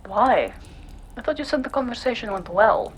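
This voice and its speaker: A woman playing a curious, cool-headed cyberpunk investigator in a noir audio drama, measured and matter-of-fact. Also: on the high side, expressive, slight background noise, lightly acted, low-quality recording.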